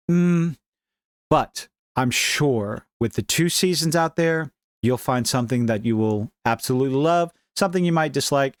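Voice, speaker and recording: A man with a medium-pitched voice hosts a casual commentary show. He reads online posts and reacts with wry, informal opinions. The sound is dry, with no audible room around him. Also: clean tone, no room sound.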